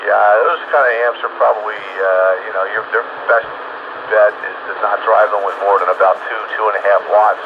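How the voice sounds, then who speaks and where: A man chatting casually as an amateur radio operator, explaining things haltingly over a two-way radio. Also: lowish voice, steady tone. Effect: two-way radio transmission. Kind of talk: casual radio chat about equipment. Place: home room, over radio. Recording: muffled two-way radio, loud static.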